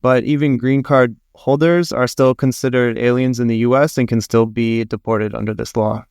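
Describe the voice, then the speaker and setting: A male reporter explains a story on a news podcast with a smooth, resonant voice. He speaks informatively with small restarts, recorded dry and close. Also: mid-range voice, fairly even intonation, moderate pace, darkish tone, no echo.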